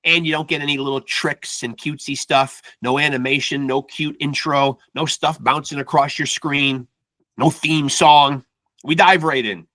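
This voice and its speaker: A male podcast host with a fairly high, bright voice that cuts through. He talks in an energetic, casual way and keeps tossing in "man" as he chats with his guest. The sound is dry and close. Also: normal speed, no echo. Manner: energetic, peppers speech with "man". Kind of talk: casual chat.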